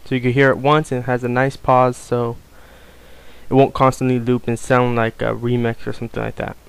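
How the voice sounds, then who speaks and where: A man giving a casual, unscripted software tutorial, explaining things informally step by step. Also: mid-range voice, little pitch movement, fairly quick, vocal fry, smooth. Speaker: tutorial presenter.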